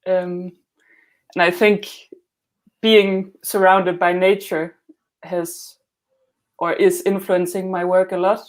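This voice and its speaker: A woman from Denmark with a Danish accent, answering interview questions in a measured, understated, conversational way. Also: on the low side, level tone. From northern Denmark. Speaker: guest, glassblower and student.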